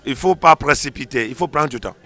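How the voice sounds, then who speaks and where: A man's voice: mid-range voice, fast, bright, forceful tone. A man speaking as a pastor in an interview, explaining in an emphatic, teacherly way and repeating phrases for stress. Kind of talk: explanatory interview answers on a religious show.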